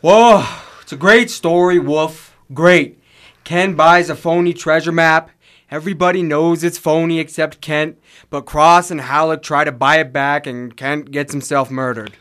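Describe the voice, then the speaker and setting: An actor playing a blunt, skeptical, sarcastic police inspector in old radio drama. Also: male voice, fairly high pitch, steady tone, unhurried, fairly bright tone. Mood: sarcastic, insistent. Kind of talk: 1950s radio drama acting. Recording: vintage radio recording with music.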